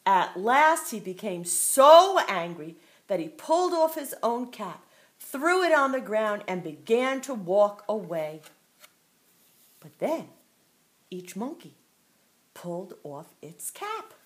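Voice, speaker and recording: A woman's voice: mid-range voice, slow pace. Older woman reading a storybook aloud. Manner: expressive, playful reading with asides to a child. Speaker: grandmother reading to a grandchild.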